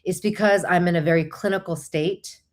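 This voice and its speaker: A woman's voice: lowish voice, fairly even intonation, normal speed. A woman whose first language is Korean, hosting a casual, chatty livestream, often digressing and thinking aloud as she replies to viewers. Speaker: host of a live online show; licensed therapist.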